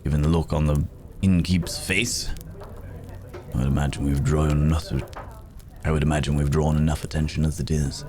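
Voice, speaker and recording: A man performs a world-weary gentleman werewolf with an extremely low voice. He talks in formal, genteel phrases, musing and pausing with small hums. It is an intimate ASMR fantasy roleplay addressed to a companion. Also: level tone. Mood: companionable, wry. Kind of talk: ASMR roleplay acting, spoken to the listener.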